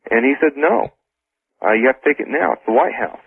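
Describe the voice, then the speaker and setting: A man giving an informal first-hand account as a guest on a radio interview, halting and repeating phrases, over a telephone. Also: mid-range voice, expressive, creaky, husky, dry recording. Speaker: interview guest, former military intelligence serviceman. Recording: thin phone line on old radio.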